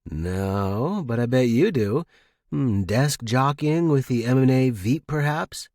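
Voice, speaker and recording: A man's voice: mid-range voice, lively intonation, slow pace, darkish tone, no room sound, clean studio sound. A man voicing a scheming, smug young male office analyst in a story, casual and sly. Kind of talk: narrated story character dialogue.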